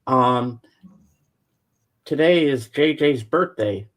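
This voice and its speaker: A man's voice: medium pitch, expressive, slow. Friendly man co-host, casual. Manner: friendly, supportive interjections. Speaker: podcast co-host. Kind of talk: casual chat.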